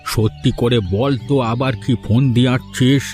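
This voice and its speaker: An older village uncle from a cartoon, voiced by a man, stern but fair. His mid-pitched Bengali has a West Bengal accent and an admonishing, authoritative manner, with music playing behind. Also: fairly even intonation, normal speed, close to an everyday voice. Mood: wary, admonishing. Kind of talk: cartoon voice acting.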